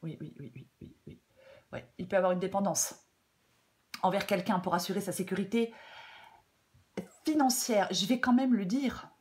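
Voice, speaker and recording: A slightly hoarse woman with a French accent talks through a tarot reading in a loose, unscripted way. She hesitates often, stacks fillers and repeats phrases while she thinks aloud, at a middle pitch for a woman. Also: normal speed, long pauses, darkish tone. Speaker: tarot reader. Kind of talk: casual spoken tarot guidance.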